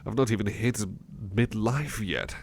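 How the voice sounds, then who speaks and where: Man acting as devoted anxious butler, gravelly low voice, soft stammering delivery, intimate ASMR roleplay. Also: unhurried, a little hiss or hum. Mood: wistful, pleading. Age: middle-aged or younger adult. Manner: hesitant, stammering, soft-spoken, drifting into whispers. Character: devoted, anxious butler, haunted runaway from another world.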